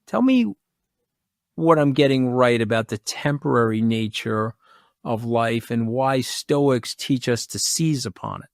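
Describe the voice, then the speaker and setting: A man's voice: medium pitch, lively intonation, a little slow, vocal fry, dry recording, clean studio sound. A man in his sixties hosting a podcast interview, brash and teasing in casual chat. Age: 60s. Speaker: podcast host.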